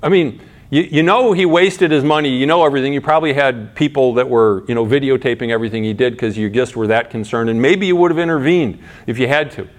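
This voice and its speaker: A middle-aged man giving a sermon in a conversational way, asking rhetorical questions, restarting his sentences and shifting between quiet asides and raised points. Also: mid-range voice, fast. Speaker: pastor.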